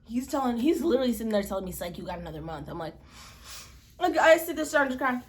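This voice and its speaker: A woman in her twenties from Malawi, telling a story in a casual, chatty vlog and acting out the quoted lines. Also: quick pace. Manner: chatty, expressive, acts out quotes with pitch jumps. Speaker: vlogger.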